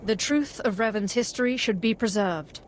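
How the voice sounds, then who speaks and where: A cool, self-possessed dark-side initiate, voiced by an actor for a video game. The delivery is formal and controlled, with faint background noise. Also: medium pitch, normal speed, natural voice. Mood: cool, assured. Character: cool, composed young Sith initiate.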